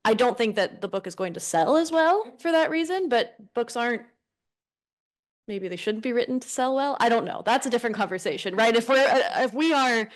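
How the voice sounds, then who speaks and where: A woman's voice: fairly high pitch, lively intonation, fairly quick. An American woman on a conference panel, answering an audience question in a thoughtful, loose way with many "like"s and "right"s. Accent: American English. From United States. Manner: thoughtful, frequent fillers like "like" and "right". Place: conference room.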